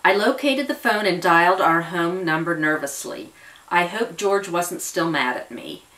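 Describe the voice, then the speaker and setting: A woman's voice: on the low side, expressive, measured pace, fairly bright tone, a little hiss or hum. A woman reading a story aloud as scripted narration, with the occasional slip and restart. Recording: voice distant from the mic.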